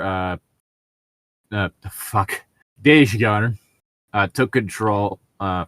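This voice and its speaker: A man's voice: fairly low pitch, a bit bright, no echo. A man chatting casually with friends and reading amateur fiction aloud in a sweary, exasperated way. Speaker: podcast host reading fan fiction with friends.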